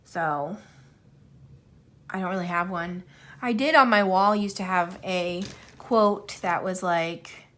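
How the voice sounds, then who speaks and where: A woman's voice: mid-range voice, a bit bright. A woman in her thirties chatting casually to camera, rambling and self-deprecating, with many fillers. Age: 30s. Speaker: YouTuber answering a tag questionnaire. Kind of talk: casual chat while coloring.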